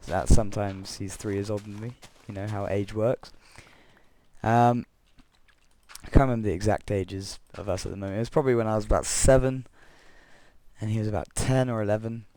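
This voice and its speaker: Croaky young man chatting over gameplay. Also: on the low side, steady tone, moderate pace, mellow, no room sound. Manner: rambling, self-correcting, chuckling asides. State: croaky voice from illness. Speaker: Minecraft let's player. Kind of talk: casual gameplay commentary and storytelling.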